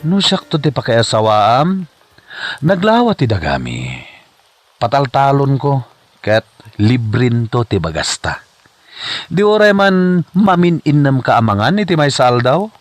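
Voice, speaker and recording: A man acting an excitable, swooping Ilocano suitor. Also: medium pitch, measured pace, long pauses, dry recording. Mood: boastful wooing. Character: eager, nervous boastful suitor courting a young woman. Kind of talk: Ilocano comedy radio drama acting.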